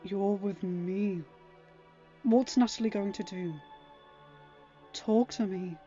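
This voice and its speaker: A woman voicing a gentle, concerned young man in an audio story, speaking softly and tenderly. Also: mid-range voice, steady tone, slow pace, lightly acted. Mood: gentle pleading. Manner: soft, gentle. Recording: dull lo-fi audio. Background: faint music.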